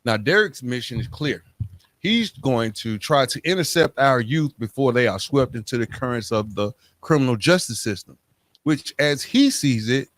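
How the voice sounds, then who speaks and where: A man's voice: medium pitch, no room sound. Male podcast host, dry close sound. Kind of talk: scripted intro and casual interview.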